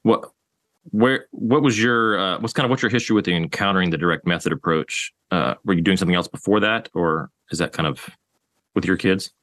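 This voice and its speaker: A deep-voiced man hosts a conversation, asking his guests questions hesitantly and with many fillers. His voice is very low, and the sound is dry and close with no room echo. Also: brisk, a bit bright. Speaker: host. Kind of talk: interviewing guests.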